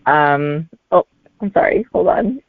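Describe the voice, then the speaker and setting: A woman in her forties answering casually as a guest author in an interview, with a halting, hesitant delivery. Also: low voice, lively intonation, dry recording. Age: 40s. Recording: narrow, tinny phone-like line.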